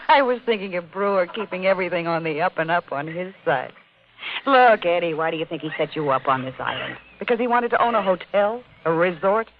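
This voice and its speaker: A scheming, greedy femme fatale from a 1950s radio play. She teases and presses with lively, swooping pitch. The sound is thin and phone-like, with some noise. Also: fairly quick. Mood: sly. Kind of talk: 1950s radio drama acting.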